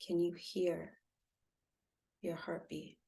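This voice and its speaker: Low, mellow woman guiding meditation. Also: flat delivery, creaky, slightly rough. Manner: soft, soothing, gently instructive with long pauses. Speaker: meditation guide, intuitive healer. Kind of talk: guided meditation.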